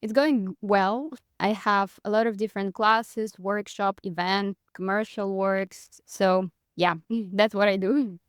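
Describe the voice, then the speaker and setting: A woman's voice: medium pitch, steady tone, takes it slowly, hardly any pauses, smooth, dry recording, clean studio sound. A woman in her thirties from Moscow with a Russian accent, talking casually as an interview guest and laughing often as she tells her story. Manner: chatty, laughs often, frequent "like". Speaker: guest, dance teacher.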